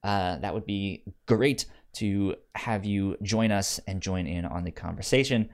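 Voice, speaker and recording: A man hosting a podcast in casual chat, talking haltingly with repeated false starts. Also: low voice. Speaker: podcast host.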